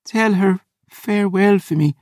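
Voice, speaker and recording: A man's voice: sits high, darkish tone, dry recording, voice pushed up. Man voicing a pained, gasping Scottish warrior woman. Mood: urgent, pleading. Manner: halting, broken by pain. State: in pain, short of breath. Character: gravely wounded young woman warrior, defiant, gasping in agony. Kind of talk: audiobook character voice.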